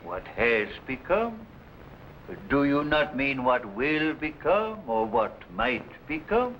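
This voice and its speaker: A man playing a despondent great inventor-artist in halting, theatrical 1950s drama style. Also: mid-range voice, sing-song, fairly bright tone, a little hiss or hum, low-quality recording. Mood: wondering. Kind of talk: 1950s television drama acting.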